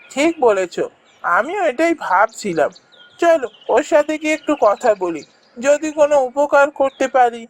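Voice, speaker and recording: A performer voicing a sympathetic, magical male bird in a fairy-tale cartoon, speaking in a kindly, measured way. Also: high-pitched, lively intonation.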